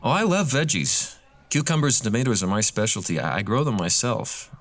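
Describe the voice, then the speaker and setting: A man's voice: medium pitch, very animated, a little fast, faint music behind. An actor playing a chatty, awkwardly eager, faintly creepy man in a scripted horror audio drama.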